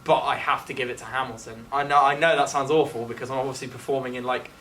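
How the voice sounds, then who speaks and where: A man's voice: medium pitch, fairly even intonation, quick pace, fairly bright tone. A man, a student actor chatting effusively and informally, often saying "like". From England. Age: late teens. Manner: effusive, peppered with "like". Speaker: student actor being interviewed. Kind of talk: casual interview chat. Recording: distant mic with faint background noise.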